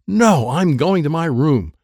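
A man's voice: a little high, animated, a little slow, a little mellow, dry recording, studio quality, voice pushed up. A man voicing a stubborn, bossy, sporty older girl in a children's audiobook. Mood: defiant. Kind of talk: children's audiobook character dialogue.

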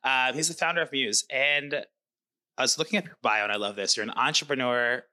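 A man's voice: medium pitch, a little fast, bright, forceful tone. A man hosting a podcast, introducing his guest in an upbeat, chatty way with plenty of fillers. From not from New York. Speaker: podcast host. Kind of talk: casual interview.

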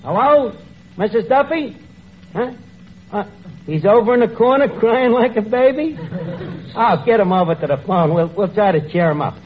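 An actor playing a gruff, uneducated tavern keeper in a 1940s radio comedy, with slangy, ungrammatical speech. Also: male voice, high voice, animated, normal speed, long pauses. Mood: coaxing, exasperated. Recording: muffled old radio recording, noise, studio audience.

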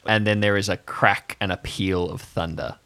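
A man narrating an improvised audio drama as game master, in a measured, dramatic storytelling manner. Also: fairly low pitch, fairly even intonation, pushed and bright, clean tone, no room sound.

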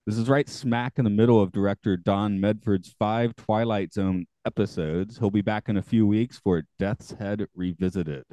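A man hosting a podcast in casual chat, with a morning gravel in his voice, chatty and quick to laugh at himself. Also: on the low side, fairly even intonation, warm tone, no room sound. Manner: chatty, self-deprecating, laughs often. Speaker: podcast host.

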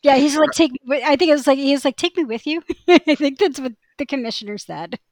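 A woman's voice: high-pitched, fast talker, a little mellow, no room sound. A woman co-hosting a podcast in casual chat, with a wry, informal, opinionated way of talking. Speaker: podcast co-host.

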